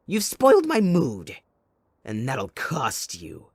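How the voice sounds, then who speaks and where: Sadistic supervillain, mocking, theatrical, slow drawn-out menace, close dry sound, audio roleplay. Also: male voice, mid-range voice, huge pitch swings, no room sound, heavily acted. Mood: cold menace. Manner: theatrical, mocking, drawn-out menacing delivery swinging between soft and loud. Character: sadistic, gleeful male supervillain kidnapper taunting his captive sidekick.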